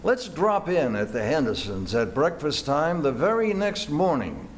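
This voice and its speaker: Casting: older man as lively, high-pitched 1940s radio juvenile and announcer, swooping delivery, faint stage noise. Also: unhurried. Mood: genial narrating. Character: bickering teenage boyfriend and smooth radio announcer. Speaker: amateur actor and announcer. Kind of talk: 1940s radio play acting. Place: live stage performance.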